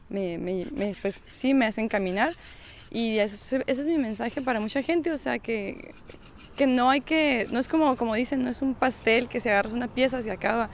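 A woman's voice: on the high side, a little hiss or hum. A woman from the northern Mexican borderlands with a northern Mexican accent, speaking spontaneously as an activist interviewee and often feeling for her words. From Mexicali and the Sonora-California borderlands of northern Mexico. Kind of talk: spontaneous spoken testimony.

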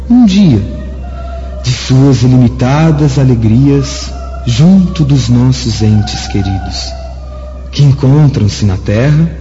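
A man's voice: medium pitch, mellow. An actor playing a benevolent, grave angelic emissary in a radio drama, with solemn, lofty, fatherly delivery. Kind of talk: dramatic radio play acting. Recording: muffled, long cavernous reverb, faint music.

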